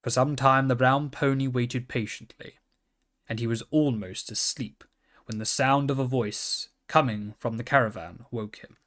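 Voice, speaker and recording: A man reads a children's story aloud as scripted narration. His pace is slow and measured, and his pitch sits in the middle range. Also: a bit bright. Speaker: storybook reader.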